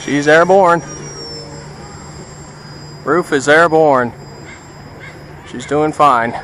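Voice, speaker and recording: A man's voice: on the high side, extremely slow, fairly bright tone, a little hiss or hum. A man giving casual running commentary outdoors as he pilots a radio-controlled plane, talking to himself in short remarks. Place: outdoors by water.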